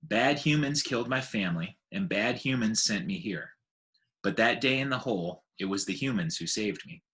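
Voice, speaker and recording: A man's voice: on the low side, expressive, normal speed, fairly bright tone. A man voicing a young female baby elephant, earnest and trusting, in a storybook reading. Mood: firm, earnest.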